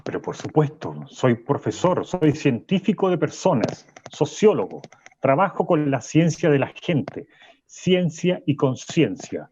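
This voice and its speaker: A man in a radio drama playing a pompous, defensive sociology professor with halting, emphatic repetitions, slightly muffled. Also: a little high, very animated, darkish tone, a little hiss or hum. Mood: pompous lecturing. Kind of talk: Spanish-language radio drama acting.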